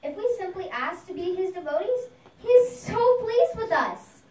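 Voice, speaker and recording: A woman's voice: very high-pitched, animated, normal speed, darkish tone. A woman voicing an earnest young boy devotee who preaches simply to his friends in a puppet show. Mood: earnest devotion. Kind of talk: puppet show storytelling, sermon-like. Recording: echoey room, distant microphone.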